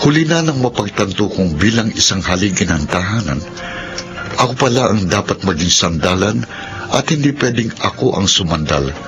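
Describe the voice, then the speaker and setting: A man's voice: fairly low pitch, very animated, moderate pace, lo-fi sound, music in the background. A man playing a mother-dependent husband. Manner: yielding, hesitant. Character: young husband who depends on his mother. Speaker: husband, narrator of his story. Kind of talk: radio drama narration and acting.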